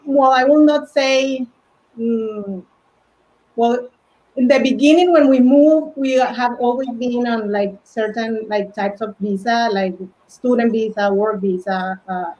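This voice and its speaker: A woman from Colombia in her forties with a Colombian Spanish accent, talking haltingly with many fillers as an interview guest. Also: a little high, a little mellow. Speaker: guest, fashion stylist. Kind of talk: casual interview chat. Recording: dull lo-fi audio.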